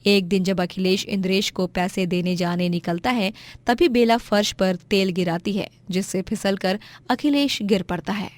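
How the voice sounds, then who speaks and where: A woman's voice: mid-range voice, moderate pace, no echo. A woman reading scripted moral-story narration as the storyteller.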